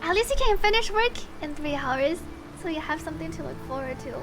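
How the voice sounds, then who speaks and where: A woman's voice: high voice, lively intonation, fairly quick. A woman game streamer chatting casually and reading on-screen text aloud in a chatty, giggly way. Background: game music.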